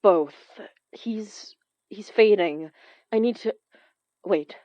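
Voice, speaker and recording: A woman acting as a young magic-wielder in a horror audio drama, protective and strained. She stammers and hesitates in a mid-pitched voice, recorded dry and slightly muffled. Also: mellow, no echo, lightly acted. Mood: strained, urgent. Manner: halting, stammering under pressure.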